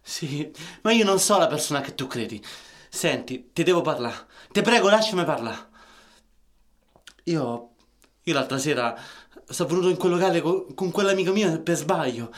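A man's voice: fairly high pitch, lively intonation, moderate pace, natural voice. An actor playing a shy, earnest man with a Roman accent in a radio play, hesitant and repeating himself.

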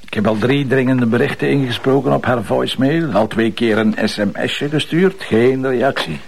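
Man playing a gravelly, grumbling Flemish detective. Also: mid-range voice, normal speed, a little mellow, slight background noise, natural voice. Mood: wry, grumbling. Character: wry, grumbling, stubborn police commissioner at home. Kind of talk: TV crime drama dialogue.

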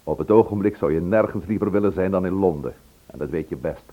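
Husky, low-voiced genial man. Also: fast. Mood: easy teasing. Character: genial, teasing man bringing news to friends. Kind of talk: Dutch radio play acting. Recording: dull old radio play recording.

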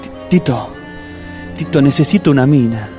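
A man's voice: medium pitch, lively intonation, moderate pace, over music, natural voice. An actor playing a stubborn, lonely man in his sixties with a Rioplatense Argentine accent, insistent and plaintive, in a comic radio drama. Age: 60s. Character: Latorca, stubborn, lonely older man eager to meet women.

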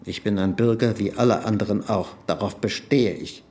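A man's voice: fairly low pitch, moderate pace, a little mellow, lightly acted. Man acting a desperate, dignified merchant, insistent. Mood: desperate defiance. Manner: insistent, indignant, articulate. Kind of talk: radio play acting.

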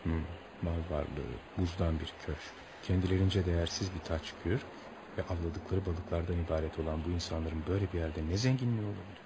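An actor playing a polite, curious American traveller in a radio play, theatrical and musing, with amused asides to himself. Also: male voice, sits low, a little fast, background noise.

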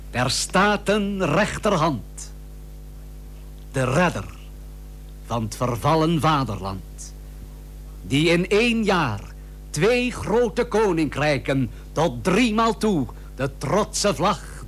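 Slow, stately, higher-pitched male orator. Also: a bit bright. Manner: stately, solemn, declamatory. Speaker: narrator. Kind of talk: declaimed scripted radio drama narration. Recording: old radio recording with faint hum.

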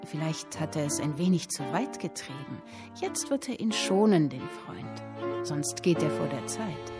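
A woman playing an expressive storyteller in a radio play, vividly recounting an old tale and savouring her phrases. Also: low voice, measured pace, loud music behind the voice. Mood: conspiratorial.

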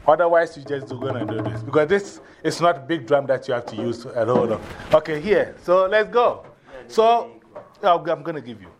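A man's voice: on the high side, expressive, moderate pace, over music. A man with a Ghanaian accent giving informal classroom instruction, directing people and repeating his instructions. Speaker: teacher of a drumming and English class.

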